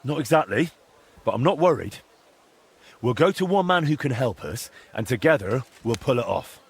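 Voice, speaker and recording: An actor playing a determined young blacksmith hero in a video game, coaxing and firm, with a husky voice. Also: male voice, mid-range voice, expressive, moderate pace. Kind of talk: video game drama acting.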